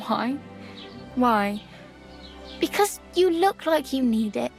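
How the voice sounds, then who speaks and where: A plucky young wandering beggar woman from a medieval video game. Her voice sits on the high side and her talk is rustic, wry and teasing. Faint music plays underneath. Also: lively intonation, takes it slowly, vocal fry, darkish tone, exaggerated voice. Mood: cheerful. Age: young adult. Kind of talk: video game dialogue acting. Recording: background music under the dialogue.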